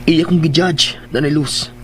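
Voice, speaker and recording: A man's voice: a little high, fairly even intonation, a little hiss or hum, lightly acted. Actor as a hesitant, troubled young man. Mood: anguished. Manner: halting, repeats himself. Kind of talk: Filipino radio drama acting.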